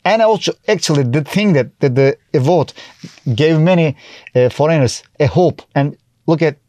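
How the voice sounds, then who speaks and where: A man's voice: on the high side, normal speed, a little mellow, no echo. Turkish-accented male guest, middle-aged, chatty storyteller, close dry mic. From Turkey. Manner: chatty, restarts often, acts out his own past remarks. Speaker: guest, TV host and comedian. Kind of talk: casual interview chat.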